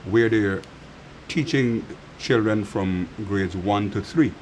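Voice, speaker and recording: A man with a lowish voice hosts the interview, murmuring short agreements and then posing questions. A faint hum runs in the background. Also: takes it slowly, a little mellow. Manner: gives frequent short backchannels, asks questions. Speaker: interview host. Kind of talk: studio interview.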